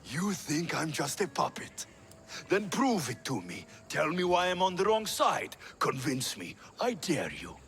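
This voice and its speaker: An actor playing a bitter, menacing young warrior in a video game, proud and wounded, with an intense, taunting delivery. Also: male voice, fairly high pitch, big pitch swings, a little slow, a bit bright, soft background music, full character voice. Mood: challenging. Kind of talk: video game cutscene acting.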